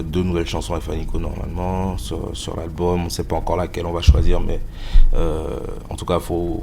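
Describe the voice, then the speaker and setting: A man's voice: low voice, creaky, darkish tone, slight background noise. A rap artist with a Beninese French accent, answering interview questions about his album with many hesitations.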